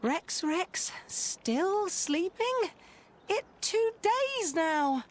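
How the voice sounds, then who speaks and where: A woman's voice: very high-pitched, very animated, very slow, heavily acted. A woman voicing a fiery, blunt, exasperated young girl in a video game cutscene. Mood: worried.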